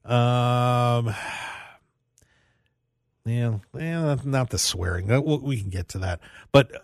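A man's voice: mid-range voice, slow, no room sound, clean studio sound. A man hosting a radio show, talking casually about sports news in a rambling way and repeating phrases for emphasis.

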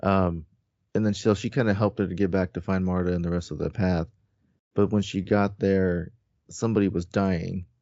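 A man's voice: low-pitched, fairly even intonation, moderate pace, a little mellow, no room sound, muffled recording. A man co-hosting a podcast, recounting story details in a loose, informal way. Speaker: podcast co-host. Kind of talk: casual book recap discussion.

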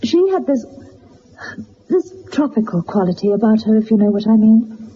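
High-voiced, earnest governess. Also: female voice, animated, normal speed, dark tone, husky, highs cut off. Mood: earnest, pained. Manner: earnest, hesitant, formal, emotional. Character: earnest, distressed young governess accused of murder. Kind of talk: dramatised detective radio play.

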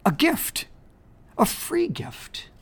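A woman's voice: mid-range voice, sing-song, a little slow, pitched above the performer's own voice. A woman voicing a small, sad young male bunny who talks tearfully and pleads. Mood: wondering.

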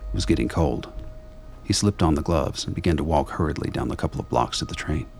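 A man's voice: a bass voice, fast talker, creaky, darkish tone, slight background noise. A man narrating a radio play in a scripted, noir-like storytelling style.

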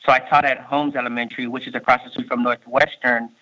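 A man in his thirties, born in Haiti and raised in Miami, with a Miami accent, answering interview questions in a halting way, full of fillers and restarts. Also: medium pitch, flat delivery, pushed and bright. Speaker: state workforce official, guest. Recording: muffled lo-fi recording.

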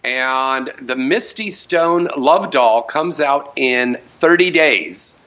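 A man's voice: medium pitch, animated, takes it slowly, highs cut off, background noise. A middle-aged man hosting a live radio talk show from a home studio, with a rambling, upbeat announcer delivery and plenty of fillers.

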